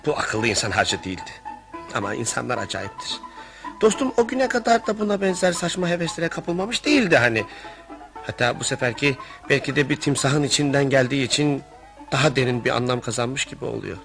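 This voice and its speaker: An actor playing an earnest, exasperated family friend in radio theatre, delivered as theatrical storytelling. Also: male voice, medium pitch, lively intonation, fairly quick, vocal fry, fairly bright tone, soft background music. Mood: wry storytelling. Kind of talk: radio theatre narration and dialogue.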